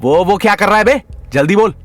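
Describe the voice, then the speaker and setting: A man's voice: sits high, animated, a little fast, no room sound, faint music behind. A man voicing an insistent, suspicious young man in a comic audio drama, urgent and demanding. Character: insistent, suspicious young male confronting a culprit.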